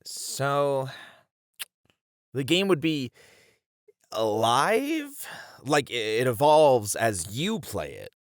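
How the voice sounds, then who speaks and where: An actor playing an eager, playful male coworker in an ASMR roleplay audio drama, chatty and animated. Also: mid-range voice, very slow, long pauses, no room sound, studio quality, heavily acted. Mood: impressed, unsure.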